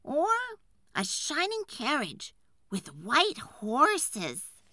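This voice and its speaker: A shrill storybook wife, put on by a woman reader, high and wildly swooping. She is scolding and exasperated, leaning hard on her words and stretching them out. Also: huge pitch swings, pushed and bright, no echo, heavily acted. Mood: dreamy. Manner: scolding, emphatic, drawn-out words. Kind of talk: storybook character voice.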